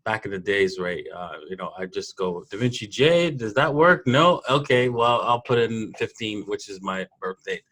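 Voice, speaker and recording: Low-voiced man chatting. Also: moderate pace, hardly any pauses. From Toronto, Canada. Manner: animated storyteller, laughs often. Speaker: podcast guest, programmer. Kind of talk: casual interview chat, personal anecdotes.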